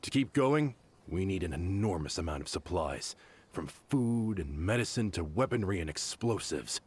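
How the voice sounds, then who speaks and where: A man's voice: deep voice, lively intonation, normal speed, a little hiss or hum. A man playing a bitter, loyal, hardened mercenary in a video game, speaking earnestly and emphatically. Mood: determined.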